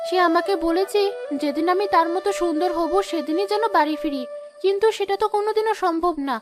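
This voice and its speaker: Very high, plaintive young wife, acted by a woman. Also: a little fast, music in the background. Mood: sorrowful. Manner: plaintive, pleading. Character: sorrowful, kind-hearted, outcast young wife. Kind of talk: cartoon fairy-tale acting.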